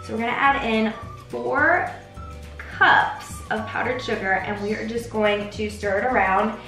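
A young woman with a North American accent hosts a casual recipe video in an enthusiastic, chatty way. Her voice is bright and forward. It is picked up a little far from the microphone in a roomy kitchen. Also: on the high side, unhurried. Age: young adult. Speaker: baker hosting a recipe video. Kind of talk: casual cooking tutorial to camera. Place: home kitchen, indoors. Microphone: camera mic a few feet away. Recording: roomy, distant camera sound with music.

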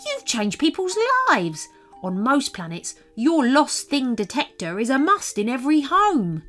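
A woman's voice: high-pitched, very animated, measured pace, fairly bright tone, faint music behind, voice pushed up, heavily acted. A woman voicing a small green male alien, nervous, eager and apologetic, in a children's story. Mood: eager, admiring.

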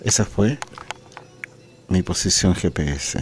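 Male, Chilean Spanish accent, low voice, halting amateur radio vlogger, slightly noisy handheld recording. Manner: halting, with many pauses. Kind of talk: informal narrated radio demonstration. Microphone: handheld camera's built-in microphone. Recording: noisy handheld camera audio.

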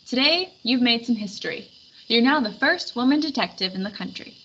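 Blunt detective boss read by a bright-voiced woman. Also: a little high, lively intonation, a little fast. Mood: decided. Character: sceptical, gruff male detective agency boss. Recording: dull lo-fi recording with background noise.

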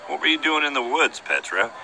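A man's voice: on the high side, sing-song, fairly quick, vocal fry, pushed and bright, a little hiss or hum, exaggerated voice, low-quality recording. An actor playing a gloomy, sulky young man in a video game, with a dry, melodramatically glum delivery. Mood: suspicious. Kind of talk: animated game dialogue.

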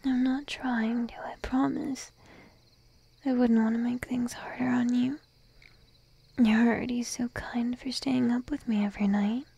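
A woman voicing a shy, needy young sheep-girl girlfriend in an intimate ASMR roleplay, soft, hesitant and drowsy, mostly whispering. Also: a little high, steady tone, gravelly, breathy edge, heavily acted. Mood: apologetic, sleepy. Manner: timid, hesitant, drowsy, slow and cooing.

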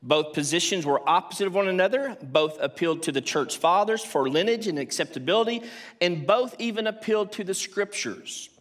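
An older man lectures on theology from a stage podium in a big auditorium. His voice sits a little higher than most men's. He breaks from his script now and then for asides and rhetorical questions. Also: lively intonation, a little fast. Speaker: theology professor giving a conference talk. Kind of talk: academic lecture at a church conference. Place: stage of a large auditorium with a seated audience. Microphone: podium microphone.

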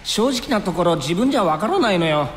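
An actor playing an easygoing, soft-hearted young fighter in an anime game, casual in speech yet earnest. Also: male voice, high-pitched, big pitch swings, brisk, slight background noise. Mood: breezy. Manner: casual, breezy talk with an earnest core. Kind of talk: anime game cutscene acting. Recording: long reverb, music behind.

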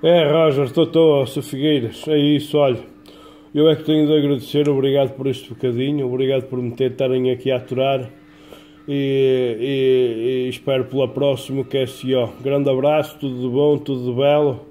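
Male radio hobbyist, soft dark tone, colloquial chat, light noise behind. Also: medium pitch, flat delivery, normal speed. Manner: colloquial, folksy. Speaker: amateur CB radio operator. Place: small home radio shack. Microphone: phone filming the radio, off-camera speaker. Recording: clear background noise, home-made phone recording.

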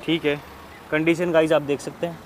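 A man's voice: a little high, level tone, a little fast. A male vlogger, recorded outdoors with some background noise. He talks casually as a host, asking a seller questions politely and echoing the answers for his viewers. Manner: repeats answers back, deferential, addresses listeners. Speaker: vlog host interviewing a car dealer. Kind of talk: casual on-location market walkthrough, questions to a seller. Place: open-air used car lot. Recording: outdoor lot with clear ambient noise. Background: outdoor ambient noise.

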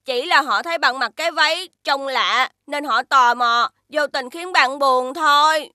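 A woman voicing a small, kind and curious alien creature in a children's cartoon, gentle and earnest. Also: sits high, a little slow, no echo, studio quality. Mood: consoling.

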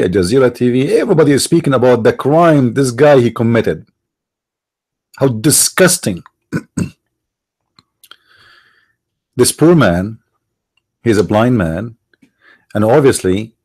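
Middle-aged man, Arabic accent, low register, halting commentary host with frequent restarts. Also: darkish tone, slightly rough. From Middle East. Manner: halting, repeats and restarts words, stresses points. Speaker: online show host. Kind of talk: monologue commentary.

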